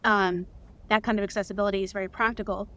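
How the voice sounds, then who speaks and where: Female guest, creator, middle pitch, enthusiastic and chatty. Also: level tone, fast talker, fairly bright tone. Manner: enthusiastic, frequent ums. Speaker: guest, audio drama co-creator and sound designer. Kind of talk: casual interview answer.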